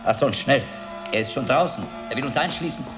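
A man's voice: mid-range voice, brisk, natural voice. An actor playing a commanding monk who gives his novice urgent orders in a radio drama. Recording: echoing room, loud music behind.

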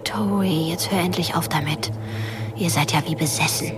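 An actress playing a soft-spoken, reproachful dead teenage girl who narrates in a radio drama. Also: low-pitched, moderate pace, far from the mic, noisy background, lightly acted. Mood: exasperated.

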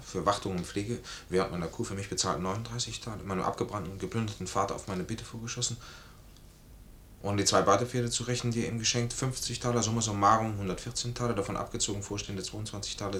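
An actor playing a proud, honourable and impatient army major, formal and commanding, in a classic radio play. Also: male voice, fairly low pitch, fairly even intonation, fast talker, a bit bright, slight background noise, lightly acted. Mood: dry, reckoning.

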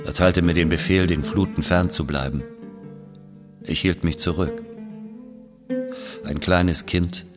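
An actor playing a brooding, guilt-ridden Algerian man who recalls his past in a grave, measured literary monologue. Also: low-pitched, steady tone. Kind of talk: literary monologue, scripted narration. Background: plucked guitar music.

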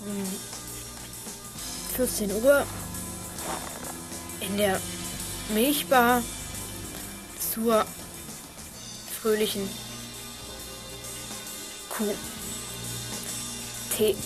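A woman acting a youngster in a children's detective radio play, speaking slowly and deliberately. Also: medium pitch. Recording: music playing behind the voice.

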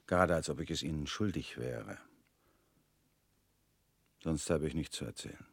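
Radio play casting: a guarded ex-prisoner, extremely deep voice, measured and subdued, dry close sound. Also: male voice, no echo. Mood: weary. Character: former prisoner, man, weary and guarded. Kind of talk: radio drama acting.

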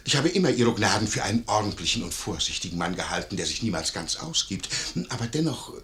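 An actor playing a flustered, scheming, obsequious innkeeper in a 1950s German radio play, stammering and wheedling. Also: male voice, lowish voice, very animated, quick pace, fairly bright tone. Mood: servile pleading.

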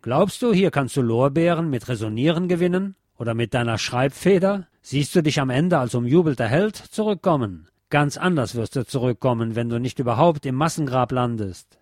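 A man's voice: medium pitch, no room sound, lightly acted. Casting: man playing a scornful, hot-tempered soldier in a war story, hectoring and sneering, dry recording. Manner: hissing, mocking, hectoring. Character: furious, sneering male soldier, scornful and bullying.

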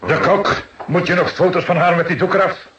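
A man playing a gruff, grumbling police photographer in a radio drama, describing things vividly. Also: sits high, brisk, lightly acted. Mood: uneasy, insistent. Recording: muffled radio recording with clear noise.

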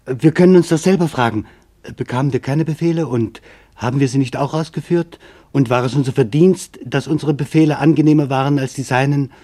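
Male audiobook narrator for literary documentary: mid-range pitch, measured, reflective, scripted delivery. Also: dark tone.

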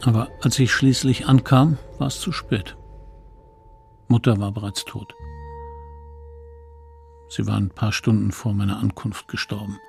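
An actor playing a middle-aged man who looks back on his childhood in a radio play, reflective, confiding and gravelly. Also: lowish voice, fairly even intonation, measured pace, long pauses, a little mellow, a little hiss or hum. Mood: grieving, remorseful. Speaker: narrator. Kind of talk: dramatic first-person radio play narration.

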